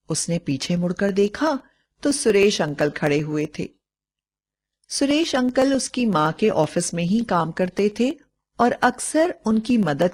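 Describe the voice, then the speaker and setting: A woman reading a scripted bedtime moral story in a measured, expressive storytelling way. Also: mid-range voice.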